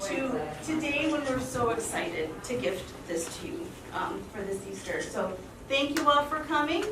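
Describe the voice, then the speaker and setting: A woman's voice: fairly high pitch, expressive, measured pace. A woman giving an effusive live welcome speech to an audience, asking them questions as she introduces a community performance. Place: large room with a live audience. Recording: echoey room from far off, noisy.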